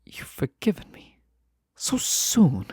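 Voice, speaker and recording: A man playing a lovestruck, wistful fantasy nobleman in a romantic audio roleplay, speaking formally and tenderly, with halting phrases. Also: a little mellow, no echo, in a whisper, lightly acted. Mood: remorseful, tender. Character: lovestruck fantasy nobleman, wistful, teasing, formerly held captive.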